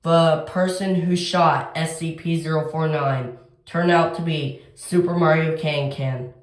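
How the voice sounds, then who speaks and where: A synthetic announcer reads an emergency broadcast script at a slow pace. The delivery is formal and flat, and the sound is noticeably echoey. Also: mid-range voice.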